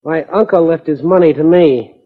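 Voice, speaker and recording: A spoiled heir in an old radio drama, played by a man, haughty and easily irritated. His voice sits fairly high and has a rough, husky edge. The recording is dulled with age. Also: normal speed, lightly acted. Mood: haughty, impatient. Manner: haughty, peevish. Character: spoiled, impatient, haughty spendthrift heir, male. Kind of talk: 1940s radio drama acting. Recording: muffled, dull 1940s radio recording.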